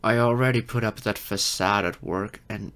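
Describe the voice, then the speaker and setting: A man's voice: on the low side, fairly even intonation, normal speed, a bit bright, clean tone, close to an everyday voice. A man playing an insecure, geeky adult silver fox in an audio roleplay, hesitant, stammering and self-deprecating. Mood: relieved, sheepish. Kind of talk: ASMR-style audio roleplay acting.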